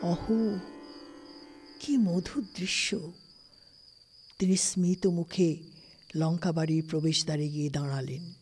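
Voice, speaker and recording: A woman narrating a literary story in an expressive, scripted style. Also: on the low side, slow pace, pauses often. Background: music.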